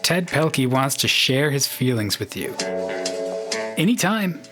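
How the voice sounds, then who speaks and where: A man's voice: mid-range voice, big pitch swings, a little slow. A man narrating a scripted podcast story in a wry storytelling manner. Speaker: podcast host. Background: loud plucked guitar music.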